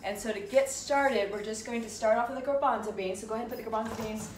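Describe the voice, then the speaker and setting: A woman's voice: on the high side, fast talker. A woman in her forties hosting a home cooking demonstration, cheerful and instructive, talking with a child helper. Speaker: dietitian hosting a kids' cooking segment. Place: large home kitchen. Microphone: camera across the room. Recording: echoey kitchen, distant mic, background noise.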